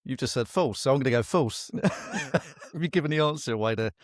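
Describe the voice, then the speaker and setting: A man's voice: medium pitch, animated, fairly quick, no echo. A man joins a friendly quiz, joking around and playing up mock offense. His voice rises and falls a lot in casual chat. It is recorded close and dry. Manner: joking, defensive, jokey guesses. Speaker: quiz contestant. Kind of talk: casual banter.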